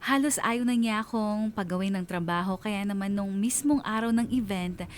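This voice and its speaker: A woman's voice: mid-range voice, moderate pace, slight background noise, close to an everyday voice. An actress playing a wistful woman in her late twenties with a Filipino accent, narrating her love story in a radio drama. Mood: fond, reflective. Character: wistful twenty-nine-year-old female bank manager recounting lost love.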